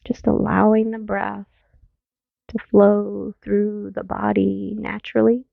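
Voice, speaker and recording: A woman mindfulness teacher leading a guided meditation in a gentle, soothing manner, leaving long pauses between phrases. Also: mid-range voice, level tone, gravelly, no echo. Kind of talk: guided meditation, body scan. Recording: narrow phone-like sound, very dry.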